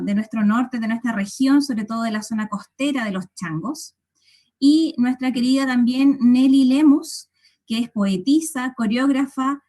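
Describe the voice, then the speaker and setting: A woman moderating a forum, giving formal introductions in a slow, courteous way with occasional fillers. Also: on the high side, a little mellow.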